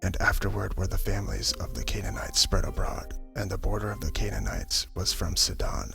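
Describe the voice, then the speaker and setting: A man narrating scripture in an ASMR-style Bible audio drama, with a creaky voice and a halting way with names. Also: lively intonation. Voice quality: low, creaky voice. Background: music.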